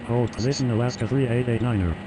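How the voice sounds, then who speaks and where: A man's voice: fast talker, natural voice. A man voicing a businesslike aviation radio operator in a simulator game, using clipped, formulaic phrases. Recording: noisy game capture, outdoor vehicle rumble.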